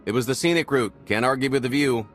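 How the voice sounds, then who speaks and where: An actor playing a cocky, wisecracking space smuggler in a video game cutscene, breezy and sardonic. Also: male voice, mid-range voice, quick pace, faint music behind, natural voice. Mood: breezy, amused. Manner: breezy, sardonic, charming swagger.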